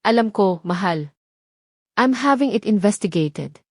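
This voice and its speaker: A woman's voice: medium pitch, lively intonation, measured pace, a little mellow, no echo, studio quality. A woman voicing a determined, protective billionaire husband in an audio drama, earnest and firm. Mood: concerned.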